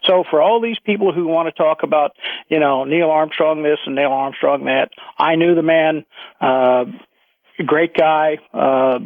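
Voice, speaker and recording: Older american guy in his 60s phoning in, tinny line, gravelly voice, earnest and halting. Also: on the high side, dry recording. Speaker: caller, retired aerospace engineer. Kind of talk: recounting a memory on a phone-in. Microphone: telephone. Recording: tinny narrow phone line.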